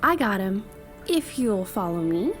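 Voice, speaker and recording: A woman voicing a brisk, blunt young doctor who speaks matter-of-factly. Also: medium pitch, sing-song, faint music behind.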